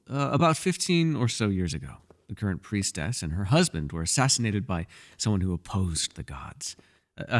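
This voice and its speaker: A man playing a mysterious elf mage in a fantasy ASMR roleplay, a patient mentor who muses wryly in formal, old-fashioned phrasing. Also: lowish voice. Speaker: voice actor.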